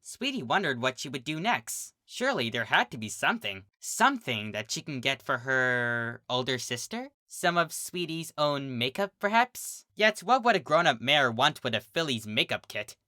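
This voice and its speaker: A woman narrating a pony fan story aloud in an expressive, dramatic storybook manner. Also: very deep voice, dry recording, clean studio sound. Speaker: fanfiction reader.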